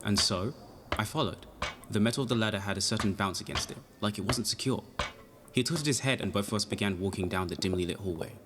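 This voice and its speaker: A man's voice: fairly low pitch, quick pace, background noise, natural voice. A man acting as a nervous, polite young amateur detective who narrates his case in an audio drama. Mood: measured, wry. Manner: hesitant, flustered in dialogue, formal when narrating. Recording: music behind the voice.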